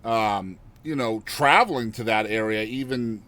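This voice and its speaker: A man's voice: lowish voice, measured pace, bright, forceful tone, no echo. A man hosting a podcast, asking rambling questions and nodding along to his guest in casual conversation. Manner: affirming, asks rambling questions. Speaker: podcast host.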